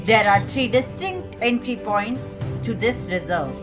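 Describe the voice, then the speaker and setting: A woman's voice: mid-range voice, lively intonation, a little slow, lightly acted. A woman with an Indian accent presenting a puppet show as a guide, reading scripted educational narration. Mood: earnest, informative. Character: puppet guide named Alak presenting Bihar. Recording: music behind the voice.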